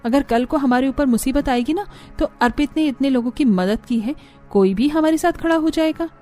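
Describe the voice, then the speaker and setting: A woman acts out a spoiled young daughter-in-law from a cartoon story. The voice is high and soft-edged, with a whiny, bragging, dramatic delivery over music. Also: normal speed, lightly acted. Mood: haughty. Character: boastful, spoiled young newlywed daughter-in-law flaunting her in-laws' riches. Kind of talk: Hindi cartoon story dialogue.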